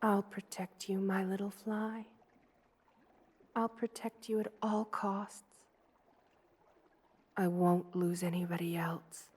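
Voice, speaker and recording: A woman's voice: mid-range voice, level tone, slow pace, long pauses, husky. A woman playing a kind, protective spider queen with a tender, formal manner in an ASMR roleplay. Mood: protective, grave. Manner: tender, doting, softly commanding, formal wording. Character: motherly female spider queen, kind, protective, regal monster. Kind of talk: ASMR roleplay audio drama.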